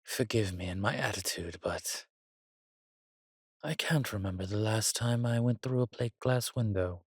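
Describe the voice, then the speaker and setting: Man acting a slow, husky, roguish old vampire. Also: on the low side, no echo, studio quality. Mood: wry, weary. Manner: theatrical, archaic turns of phrase, teasing. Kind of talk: intimate roleplay audio drama.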